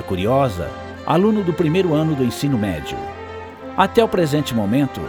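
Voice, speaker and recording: A man with a Brazilian accent narrating a radio drama in a scripted storybook style. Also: medium pitch.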